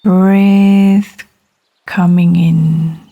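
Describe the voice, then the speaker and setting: Female meditation guide, lowish and soft-toned, extremely slow delivery, gentle and soothing. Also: level tone, lo-fi sound. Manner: gentle, soothing, unhurried with long pauses between short phrases.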